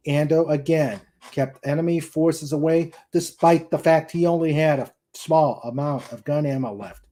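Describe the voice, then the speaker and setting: Mellow-voiced man reading war fiction aloud. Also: medium pitch. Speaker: narrator reading a novel aloud. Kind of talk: dramatic reading of a sci-fi war novel.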